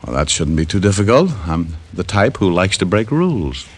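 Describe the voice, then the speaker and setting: Low-voiced, wry private eye. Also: male voice, normal speed, a little hiss or hum. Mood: flirtatious. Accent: American. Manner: wry, smooth-talking, deadpan. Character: wry, flirtatious hard-boiled male private detective narrating his case. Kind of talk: 1940s-50s radio detective acting. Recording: old radio recording with music behind.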